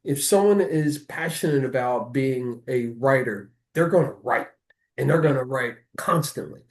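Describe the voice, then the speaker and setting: An American man speaks as the host of an arts show, with a fairly high-pitched voice. He is earnest and wordy, laying out his points in deliberate, conversational phrases. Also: darkish tone.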